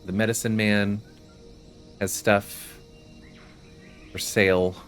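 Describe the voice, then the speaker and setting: A man giving a low-key, casual running commentary as he plays through a video game. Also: little pitch movement, slow pace, fairly bright tone. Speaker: gaming video host. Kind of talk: casual game playthrough commentary with quest text read aloud. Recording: music behind the voice. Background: game music.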